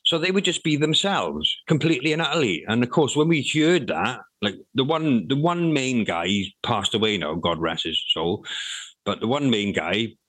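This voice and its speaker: A man's voice: mid-range voice, big pitch swings, normal speed, fairly bright tone, breathy edge, no echo, low-quality recording. A middle-aged man, talking casually in an interview about his past as a hip hop artist, rambling and swearing freely.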